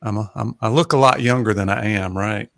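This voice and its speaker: Gravelly soothing man in his forties. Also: on the low side, a little fast. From North Carolina. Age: 40s. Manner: relaxed, hesitant, many fillers. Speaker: guest, high school math teacher. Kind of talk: casual livestream chat. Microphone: home podcast mic.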